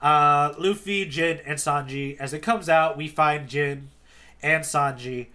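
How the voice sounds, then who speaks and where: A man hosting a podcast, casually retelling a comic chapter, animated with many fillers and restarts. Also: a little high, slow pace. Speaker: podcast host, tour guide.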